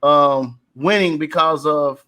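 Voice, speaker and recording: A man's voice: fairly high pitch, slow, pushed and bright, clean tone. An American man co-hosting a casual panel chat, emphatic and repeating himself to make his point. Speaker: co-host.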